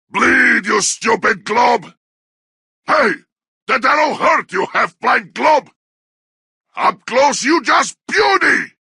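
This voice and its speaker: A man playing a brutish, bloodthirsty orc warrior in a video game, snarling crude taunts in a harsh, raspy growl. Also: very high-pitched, pushed and bright, no echo, studio quality, heavily acted. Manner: snarling battle barks in crude, broken grammar. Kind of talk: video game combat barks.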